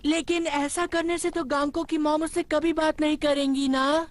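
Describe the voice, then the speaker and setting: A woman's voice: high voice, little pitch movement, normal speed, dry recording, close to an everyday voice. A woman voicing a timid, conscientious little boy in a dubbed cartoon. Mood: worried.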